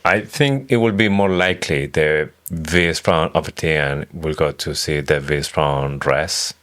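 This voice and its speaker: A man with an extremely deep voice shares his predictions in an easygoing read-along chat. He pauses and restarts often, feeling his way through each idea. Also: a bit bright, smooth. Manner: tentative, halting phrasing as he lays out predictions. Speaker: podcast co-host. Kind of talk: casual book-club chat.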